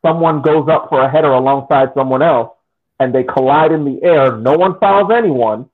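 A podcast co-host calmly laying out his argument with hypothetical examples. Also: mid-range voice, moderate pace. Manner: methodical, argues through hypotheticals. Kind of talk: casual sports debate. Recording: husky voice over a tinny phone-like line.

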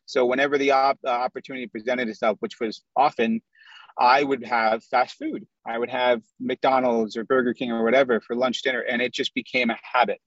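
A man's voice: medium pitch, steady tone, brisk, a bit bright, no echo. Male, 30s, from the Midwest, candid interview guest, muffled video-call audio. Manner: candid, storytelling, lists things in runs.

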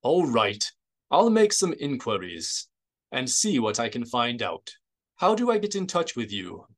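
A man's voice: mid-range voice, wildly swooping pitch, a little slow. A man playing a stern, suspicious military colonel in an audiobook, stiff, guarded and commanding.

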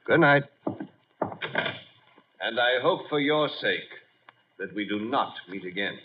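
An actor playing a pretentious, quietly menacing crime boss in a 1950s radio drama, measured and polite with veiled threat. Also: male voice, medium pitch, lively intonation. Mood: suavely menacing. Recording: dull vintage radio broadcast recording.

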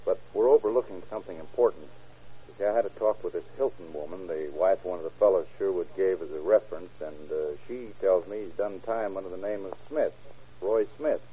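An actor playing a tough, slangy private investigator who questions in a clipped way, in 1940s radio crime drama style. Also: male voice, deep voice, brisk, dark tone, breathy edge. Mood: explanatory. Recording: dull old radio drama recording.

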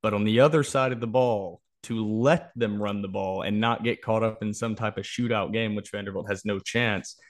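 Low-voiced man breaking down football. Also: steady tone, normal speed, few pauses. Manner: opinionated, emphatic. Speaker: sports podcast host. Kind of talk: football preview analysis, conversational.

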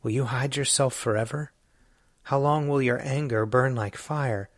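A man reading a psalm and doxology aloud in a measured, solemn way. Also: mid-range voice, no room sound, studio quality. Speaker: scripture reader.

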